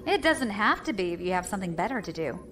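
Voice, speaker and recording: A woman playing a cool, decisive space fleet leader in an audio drama, calm, dry and commanding. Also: mid-range voice, quick pace, faint music behind. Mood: wry, commanding.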